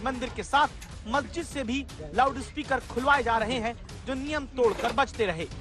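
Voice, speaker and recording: A woman's voice: sits high, normal speed. A woman presenting a dramatic, scripted news report. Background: loud music bed.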